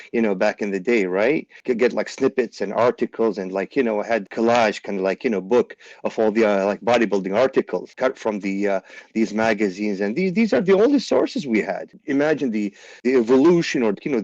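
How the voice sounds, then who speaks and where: A man's voice: on the low side, darkish tone, no echo, lo-fi sound. A man in his forties from Iraq, a pro bodybuilder guest in a podcast interview, talking animatedly with lots of fillers and restarts. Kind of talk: casual interview talk.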